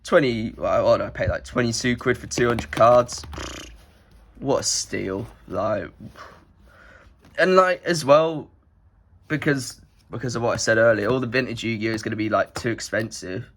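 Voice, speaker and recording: Young man, nasal with a cold, casual rambling delivery, mid pitch. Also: moderate pace, a bit bright. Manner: rambling, many "like" fillers. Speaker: card collector vlogger. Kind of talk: casual rambling show-and-tell.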